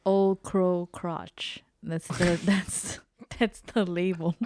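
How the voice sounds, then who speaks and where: A woman co-hosting a fan podcast in casual chat, giggly with quick asides. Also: fairly low pitch, level tone, a little mellow, slight background noise. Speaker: podcast co-host.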